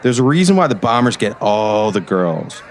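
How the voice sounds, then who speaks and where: A man's voice: lowish voice, very animated, moderate pace. An actor playing a boastful, bullying teenage boy who leads a gang in an audio drama. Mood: taunting, bossy. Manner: boastful, refers to himself in third person. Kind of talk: scripted audio drama acting.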